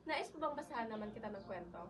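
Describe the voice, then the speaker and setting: A woman playing a gentle, encouraging mother teaching her small son in an amateur historical drama. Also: on the high side, expressive, fast, noisy background, natural voice. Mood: tender, encouraging. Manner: nurturing, encouraging. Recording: distant mic, drowned by loud music.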